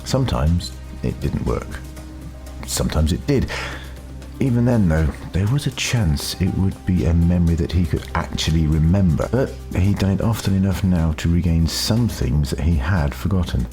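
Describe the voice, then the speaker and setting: Male narrator, very low pitch, dark warm tone, measured storytelling, deliberate pauses, faint music behind. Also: animated. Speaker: audiobook narrator.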